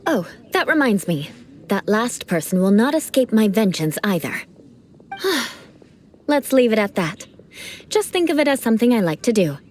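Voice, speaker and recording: A woman's voice: a little high, very animated, normal speed, darkish tone. An actress playing a proud young female knight of an aristocratic clan, who speaks in a formal, aristocratic manner. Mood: composed.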